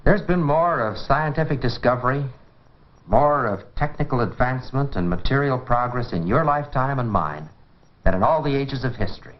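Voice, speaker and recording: American guy hosting an old 50s science film, really animated, chatty explainer, muffled vintage film sound. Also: mid-range voice, normal speed. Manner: rhetorical, explanatory, folksy and engaging. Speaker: presenter of an educational science film. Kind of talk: 1950s educational film lecture, conversational. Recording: dull 1950s film soundtrack.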